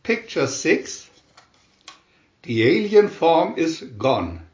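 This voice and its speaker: A man reading scripted storybook narration in a deliberate, dramatic announcing manner. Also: a little high, very animated, a little mellow. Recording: dull, echoey room recording.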